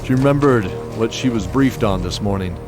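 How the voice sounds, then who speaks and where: A man narrating an audio drama in a measured, descriptive storytelling manner. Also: on the low side. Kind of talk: scripted narration. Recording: music bed under voice.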